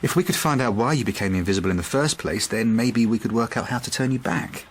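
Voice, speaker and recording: A man's voice: mid-range voice, fast talker, a little hiss or hum, lightly acted. A man playing a kindly, practical butcher in a naturalistic radio drama, speaking in plain, short questioning phrases. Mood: concerned, practical.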